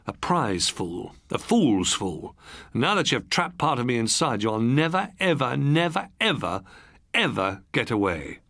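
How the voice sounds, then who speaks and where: A man's voice: mid-range voice, very animated, measured pace, vocal fry, a bit bright, no echo, full character voice. A man voicing a resentful, controlled husband with a brooding, clipped manner for an audiobook story. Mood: seething, bitter.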